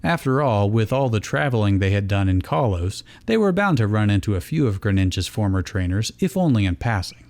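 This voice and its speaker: A man's voice: on the low side, very animated, a little fast, few pauses, darkish tone. A man reading fan fiction aloud as narrator, in scripted storytelling style. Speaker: reader and narrator.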